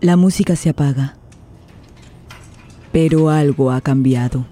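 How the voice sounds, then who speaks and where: A woman's voice: deep voice, unhurried, dark tone, a little hiss or hum. A woman narrating a scripted radio play in a dramatic style. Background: faint guitar music.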